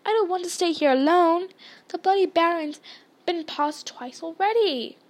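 Timid little schoolboy for a storybook, played by a woman: very high, needy, plaintive, anxious. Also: animated, measured pace. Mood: frightened pleading. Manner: plaintive pleading. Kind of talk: dramatised storybook reading.

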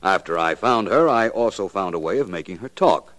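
An actor playing a suave, self-assured private detective in a 1940s radio drama, with polished, unhurried delivery. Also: male voice, low voice, expressive, a bit bright. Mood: self-satisfied.

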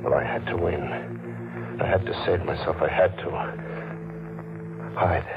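A man's voice: deep voice, steady tone, a little slow. An actor playing a desperate hunted man who narrates his ordeal in dramatic, clipped 1940s radio style. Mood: fearful, desperate. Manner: tense, dramatic, clipped hard-boiled narration. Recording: muffled old radio recording with loud music.

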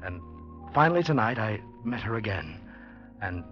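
A man's voice: lowish voice, very animated, moderate pace. A man acting a nervous, earnest, lovestruck poet in a 1940s radio drama, speaking hesitantly. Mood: anxious. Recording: muffled old radio recording with music.

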